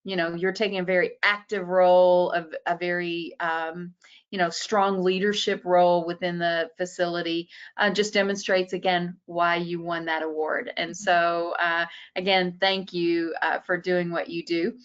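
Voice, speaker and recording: A woman's voice: on the low side, little pitch movement, moderate pace, smooth, highs cut off. A woman moderating a webinar panel who asks long questions and fills them with "you know" and "uh". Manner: many fillers, you know and uh.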